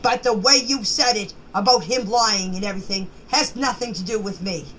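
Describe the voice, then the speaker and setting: A woman's voice: mid-range voice, fairly bright tone. A middle-aged or older woman speaking off the cuff in voice messages, defensive and insistent, often stumbling and repeating herself. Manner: halting, stumbling over words and names. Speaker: member of an online group chat sharing voice messages. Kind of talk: casual unscripted voice messages. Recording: noisy amateur voice message recording.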